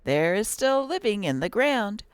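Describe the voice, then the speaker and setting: A woman's voice: mid-range voice, big pitch swings, a little slow, clear, resonant tone, no room sound, clean studio sound. A woman narrating from a script as host, reading an old newspaper aloud.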